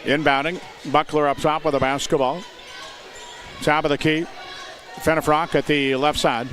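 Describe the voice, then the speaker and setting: An American man doing live play-by-play commentary of high school basketball in clipped, descriptive phrases. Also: level tone, normal speed. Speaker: play-by-play announcer. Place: indoor high school gymnasium. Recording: noisy gym with loud crowd. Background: crowd noise and bouncing basketballs.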